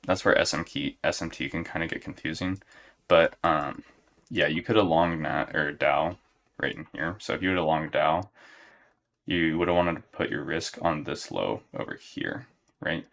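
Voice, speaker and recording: A young man talks through his trades live for his chat, unhurried and monotone. His voice sits very low and carries a gravelly fry. He thinks aloud at a desk mic and checks in with a steady "right?". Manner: monotone, relaxed, low-key. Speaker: day trader streaming live. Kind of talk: live trading commentary to chat.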